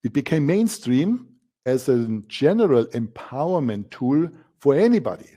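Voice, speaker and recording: Male coaching instructor, German accent, soft-edged mellow tone, mid pitch, emphatic masterclass delivery that leans on repetition. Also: sing-song, unhurried. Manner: emphatic, repeats key words for stress. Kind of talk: masterclass presentation.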